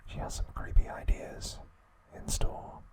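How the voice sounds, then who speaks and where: A man narrating in a breathy, unvoiced ASMR whisper, hushed and measured, in short phrases. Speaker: host of an ASMR trivia channel.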